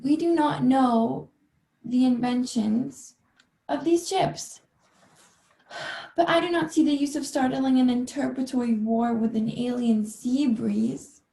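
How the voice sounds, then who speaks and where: A woman's voice: sits high, steady tone, a little slow, gravelly, dark tone. A young woman playing a bumbling army general who stumbles over her words in a comedic radio play. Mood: solemn, bumbling. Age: young adult. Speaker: student actor. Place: bedroom at home. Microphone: laptop on a video call. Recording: roomy, echoey bedroom sound.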